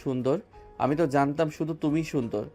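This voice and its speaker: A man's voice: fairly high pitch, fast talker, warm tone, natural voice. A male voice actor playing a romantic young husband who teases his wife tenderly in an audio drama. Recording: music bed underneath.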